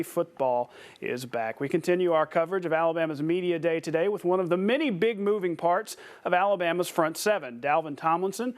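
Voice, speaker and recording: An American man anchoring a sports broadcast, reading scripted highlights in a punchy announcer style.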